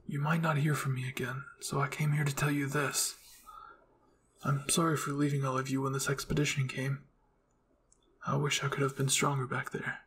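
A man voicing a soft-spoken, regretful male spirit of a fallen soldier, earnest in manner. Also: medium pitch, flat delivery, normal speed, a little hiss or hum, natural voice. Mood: gentle regret.

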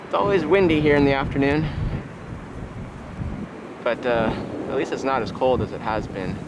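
A man giving a casual, unscripted vlog tour, speaking in a halting, low-key way as if thinking aloud. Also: expressive. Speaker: vlogger. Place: outdoors on an open parking structure. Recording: windy outdoor audio, loud vehicle noise. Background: wind, vehicles, urban noise.

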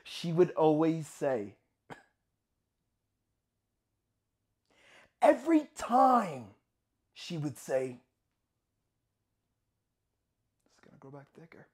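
Male actor as haunted young man for a dramatic monologue; intense, halting delivery with huge swings from murmur to anguished cry. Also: fairly high pitch, big pitch swings, darkish tone, pitched below the performer's own voice. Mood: brooding. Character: tormented young man haunted by shaving, swinging from hushed to frantic.

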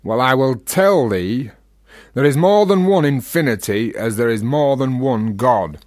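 An actor playing a talkative, teasing Yorkshire freethinker in a radio play, with a rhetorical, provocative manner. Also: male voice, medium pitch, lively intonation, a little slow, darkish tone, dry recording, studio quality, natural voice. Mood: argumentative, expansive.

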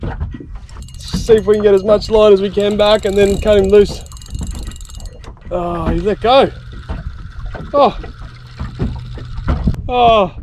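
A man's voice: high-pitched, fairly even intonation, slow pace, long pauses, darkish tone. A young Australian man giving casual, laid-back vlog commentary in a matey, colloquial way while out on an open boat. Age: young adult. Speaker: fishing vlogger. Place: outdoors on a small open boat at sea. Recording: noisy outdoor recording on open water.

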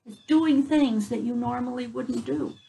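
Older woman storyteller with a creaky, higher voice. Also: unhurried, mellow. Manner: explanatory asides in simple words. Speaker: children's story reader. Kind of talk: reading a picture book aloud to children. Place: outdoors on a front porch. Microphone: camera a few feet away.

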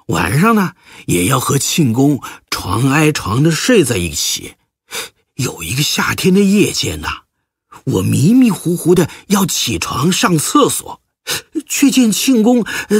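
Man acting a husky, unhurried village storyteller. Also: on the high side, no room sound, studio quality, full character voice. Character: earnest villager fondly recalling boyhood.

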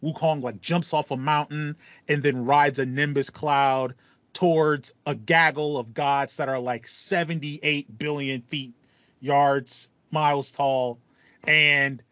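A man in his thirties with a fairly high voice talks casually about games as a podcast co-host. He tends to repeat words while thinking aloud. The sound is dry and a little dull. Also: steady tone, slow, a bit bright, no room sound. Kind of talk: casual gaming podcast chat. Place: small room with acoustic foam.

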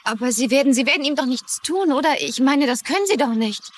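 An anxious, guarded young woman in a dubbed TV drama. Her voice is very high and she sounds tense and defensive. Faint noise sits behind her. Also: lively intonation, fairly quick. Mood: alarmed. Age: young adult. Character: anxious, guarded young daughter hiding a secret. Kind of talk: dubbed TV drama dialogue in German.

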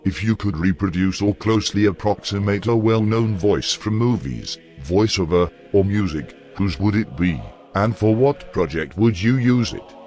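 A man's voice: on the low side, expressive, normal speed, few pauses, a little mellow, soft background music. A man reading out questions as an interviewer.

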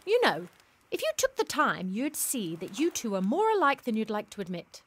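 An actress playing a kind, teasing castaway peacemaker in a Regency audio drama, playful and coaxing. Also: female voice, a little high, wildly swooping pitch, normal speed, husky, heavily acted. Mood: earnest, coaxing.

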